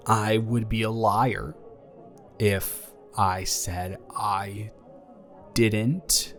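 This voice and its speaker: Young male ex-boyfriend acted by a man, fairly deep voice, very slow delivery, halting, tender and earnest, awkwardly caring, intimate romance audio roleplay. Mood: nervous, tender. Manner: halting, stammering, earnest, gently reassuring. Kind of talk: improvised romantic audio roleplay, intimate one-sided conversation. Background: background music.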